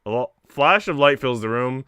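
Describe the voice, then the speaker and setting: A man's voice: mid-range voice, animated, moderate pace, pushed and bright, clear, resonant tone, no echo. A man livestreaming, talking in an informal, slangy, rambling way as he chats and reads a visual novel aloud. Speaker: streamer. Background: faint game music.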